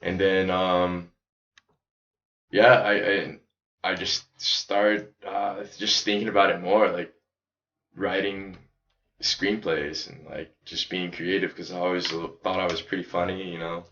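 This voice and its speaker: An American man from Chicago talking casually as a podcast guest, in a loose, halting way peppered with "like". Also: sits low, level tone, a bit bright. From Chicago, United States. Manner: loose, halting, heavy use of "like". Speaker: podcast guest, independent filmmaker. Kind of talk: casual conversational chat. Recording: echoey room, dull lo-fi audio.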